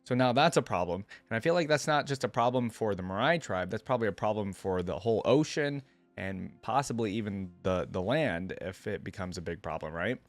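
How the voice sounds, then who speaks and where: A man's voice: fairly low pitch, animated, fairly quick, dry recording. A man hosting a reaction video, casually thinking aloud and reading a story as he goes. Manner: talks to himself, thinking aloud with casual slang.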